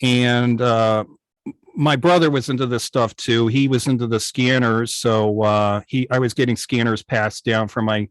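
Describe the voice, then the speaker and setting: A middle-aged man casually reminiscing as a guest on a video call, speaking in a halting, thoughtful way. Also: mid-range voice, fairly even intonation, dry recording. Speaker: guest, radio hobbyist. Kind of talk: casual reminiscing in an online club interview.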